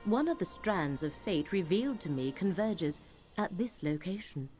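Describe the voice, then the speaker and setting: Solemn low-voiced mystic woman, played by an actress. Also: animated, dark tone, lightly acted. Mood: solemn, cryptic. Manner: formal, measured, cryptic. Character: solemn, mystical woman companion guided by fate. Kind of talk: fantasy video game acting. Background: game music.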